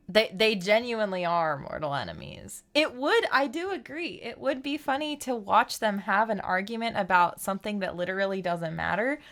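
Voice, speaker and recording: A woman's voice: medium pitch, a bit bright. A woman chatting casually on a live game stream, explaining things in a rambling, chatty way over game music.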